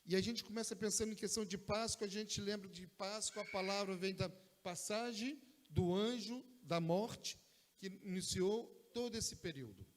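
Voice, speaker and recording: A man with a Brazilian Portuguese accent preaches a sermon in an easy, conversational tone. His voice sits a little high, and he often adds short asides to bring the congregation along. A long church reverb trails behind every phrase. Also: moderate pace. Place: church sanctuary.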